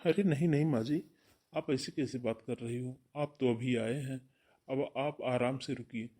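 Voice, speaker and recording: A man's voice: mid-range voice, moderate pace, dark tone, natural voice. A man playing a caring, busy elder brother and husband in a Hindi cartoon moral story. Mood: calm, reassuring.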